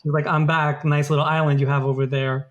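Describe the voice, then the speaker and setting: A man with a slightly high voice co-hosts a casual podcast. His talk is loose and chatty, full of "like" and "um" as he goes along. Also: little pitch movement, a little fast, muffled recording. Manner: loose, filler-heavy talk with frequent "like" and "um". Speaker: podcast co-host. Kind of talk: casual political chat.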